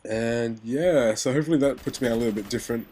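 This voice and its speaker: A man's voice: medium pitch, fast talker, warm tone, slight background noise. A young man giving casual gaming commentary, rambling with many fillers and restarts, his nose still stuffy and his voice a little sore from illness.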